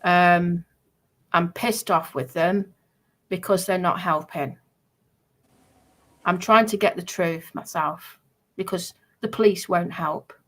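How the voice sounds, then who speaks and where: A woman's voice: on the low side, fairly even intonation, slow, pauses often. A woman in an aggrieved, emphatic livestream talk, often repeating herself to press her point.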